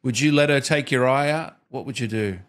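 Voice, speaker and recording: A man's voice: medium pitch, a little fast. A man in his thirties hosting a casual interview, asking questions in a chatty way from a small studio. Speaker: interview host. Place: small indoor home studio. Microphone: desk microphone with headset.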